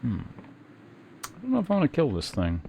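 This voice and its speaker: A man giving casual game commentary as a streamer, musing in an unhurried, hesitant way and reading game text aloud. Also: mid-range voice, wildly swooping pitch, vocal fry, warm tone, slight background noise. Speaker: live streamer playing a video game.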